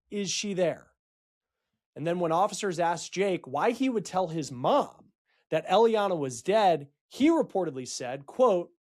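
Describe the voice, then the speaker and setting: A man hosting a true-crime report, explaining a case in a storytelling news style with dramatic, emphatic pauses. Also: sits high, a little mellow. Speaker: true-crime show host.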